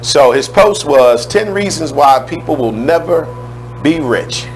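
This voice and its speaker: A man with a loud, strong voice hosting a radio talk show in a casual, chatty way, speaking into a studio microphone. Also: fairly high pitch, lively intonation, a little slow, slight background noise, rough recording.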